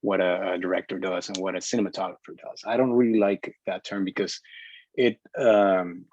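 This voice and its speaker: Low-voiced man, halting and opinionated. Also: flat delivery, darkish tone, highs cut off. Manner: halting, repeats words, opinionated. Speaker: filmmaker in a group meeting. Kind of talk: casual group discussion.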